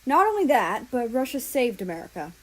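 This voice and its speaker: A woman's voice: on the high side, very animated, brisk, voice pushed up. A woman voicing an assertive, helpful man who speaks up firmly and matter-of-factly in a story reading.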